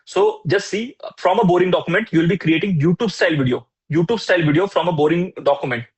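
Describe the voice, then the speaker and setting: Husky, excitable Indian man teaching a workshop. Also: high-pitched, quick pace. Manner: repeats rhetorical questions, "right?" and "folks". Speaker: AI tools workshop instructor. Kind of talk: energetic live workshop teaching.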